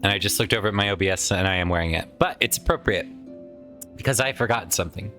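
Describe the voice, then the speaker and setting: A man's voice: on the low side, fast, sharp tone, faint music behind. A man casually commenting while playing a video game, chatty and rambling with some swearing, his voice tired from a lot of recent use. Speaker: let's play host.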